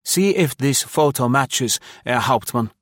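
Man voicing a weary, officious German police officer, pitched up. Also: lively intonation, no room sound, studio quality, lightly acted. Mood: tired, officious. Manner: brisk, tired, officious questioning.

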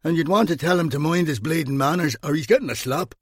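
A man's voice: a little high, fast talker, breathy edge, dry recording, clean studio sound, pitched above the performer's own voice. A man voicing a brash, belligerent young bouncer. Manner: belligerent, mouthy.

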